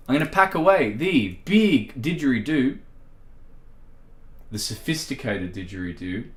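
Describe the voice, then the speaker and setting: Male streamer, slow and rambling, chatting from a roomy space. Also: medium pitch, fairly bright tone. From Australia. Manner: rambling and self-deprecating, many fillers. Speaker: livestream host. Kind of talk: casual livestream chat. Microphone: streaming microphone. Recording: echoey room.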